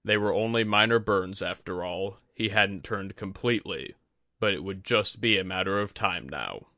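A man reading a scripted fantasy story as an audiobook narrator, with dramatic, measured phrasing. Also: sits low, sharp tone, no room sound. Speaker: audiobook reader.

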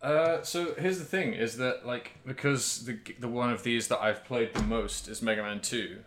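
A man's voice: mid-range voice, normal speed, noisy background. A man co-hosting a podcast, chatting casually about video games in a rambling, hesitant way and often correcting himself. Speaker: podcast co-host.

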